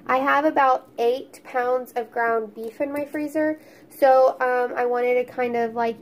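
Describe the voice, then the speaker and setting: A woman in her thirties with an Oklahoma Southern accent, narrating a casual grocery haul in a chatty, homey way, her voice congested and a little crackly from a cold. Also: high voice. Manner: chatty, homey, listing items. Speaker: YouTube vlogger, mom.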